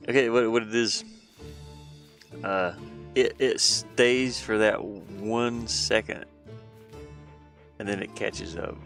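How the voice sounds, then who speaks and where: A man with a fairly deep, fry-edged voice talks casually on a live stream. He thinks aloud in halting, muttered phrases as he works, over faint background music. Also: fairly even intonation, fairly bright tone. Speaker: hobby game developer streaming his work. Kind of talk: casual live-stream chat while working.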